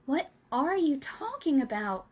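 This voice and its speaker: A woman's voice: sits high, big pitch swings, a little slow, pitched above the performer's own voice. A woman voicing a curious little girl from a storybook who asks earnest questions.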